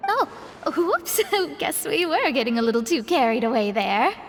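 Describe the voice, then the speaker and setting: A woman's voice: sits high, big pitch swings, moderate pace, big-room sound. A woman voicing a proud, studious young astrologer in a video game, explaining things in a precise, self-important manner. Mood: sheepish. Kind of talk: animated game dialogue acting.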